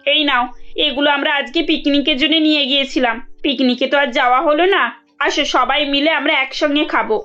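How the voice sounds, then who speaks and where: A woman's voice: sits high, steady tone, brisk, sharp tone, lo-fi sound, faint music behind. A woman voicing a kindly, well-off madam in a cartoon, concerned and reassuring. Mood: kind, grateful. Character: well-off, kindly, grateful madam, protective sister-in-law. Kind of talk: cartoon dialogue.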